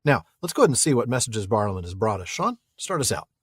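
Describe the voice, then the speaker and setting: Easygoing podcast cohost guy, husky voice, pitch bounces around a lot. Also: very animated, fast talker, no echo, clean studio sound. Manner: chuckling, easygoing, reasoning aloud. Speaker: podcast co-host. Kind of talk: casual chat reading listener mail.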